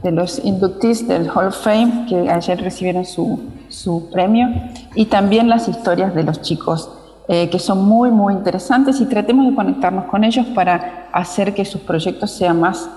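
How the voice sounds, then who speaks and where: A woman's voice: mid-range voice, measured pace, dark tone. A middle-aged woman with an Argentine accent, giving an informal remote speech as a guest. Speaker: guest speaker, chapter president. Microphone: video call with earphones. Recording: echoey video call played in hall.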